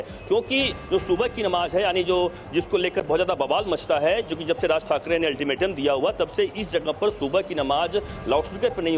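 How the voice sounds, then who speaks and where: A high-pitched male reporter with an Indian accent gives live, wordy commentary from a city street. He circles back over his points, and faint music sits under his voice. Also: poor audio. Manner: wordy, repetitive running commentary. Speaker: field news reporter. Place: outdoors on a city street outside a mosque.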